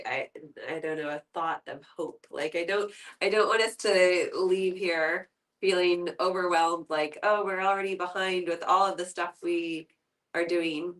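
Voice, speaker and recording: Female moderator, slightly low voice, informal and hesitant phrasing, caught at some distance. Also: unhurried, fairly bright tone. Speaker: host moderating a discussion. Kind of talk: casual group discussion. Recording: distant mic in group discussion.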